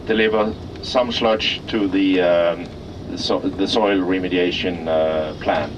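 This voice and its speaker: A man with a Norwegian accent leads a tour in a lowish voice, stopping now and then to find the English words. He is heard from some way off the mic. Loud traffic and machinery noise fill the outdoor recording. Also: fairly even intonation, distant mic, muffled recording. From Norway. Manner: halting, searching for English words. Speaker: tour guide at an industrial plant. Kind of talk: guided tour commentary. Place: outdoors at an industrial site.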